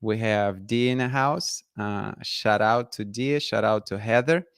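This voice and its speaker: A man's voice: fairly low pitch, measured pace, clean tone, dry recording, clean studio sound. A man hosting a live online show, upbeat, greeting viewers by name and interviewing a guest over a video call.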